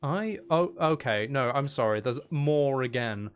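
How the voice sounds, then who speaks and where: A man's voice: mid-range voice, animated, a little slow, a little hiss or hum. A man giving casual live commentary while streaming a video game, muttering wry, exasperated asides to himself.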